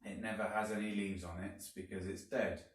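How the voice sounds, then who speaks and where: A man reading a children's story aloud like a teacher, deliberately and with dramatic emphasis. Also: lowish voice, little pitch movement. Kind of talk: expressive storybook narration. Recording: very echoey room, distant mic.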